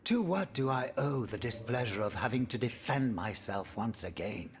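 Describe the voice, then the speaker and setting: A man's voice: medium pitch, expressive, normal speed, lightly acted. An actor playing a pompous, silky statesman and schemer in a video game, theatrical, florid and courtly. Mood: suave, wry. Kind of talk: video game cutscene acting.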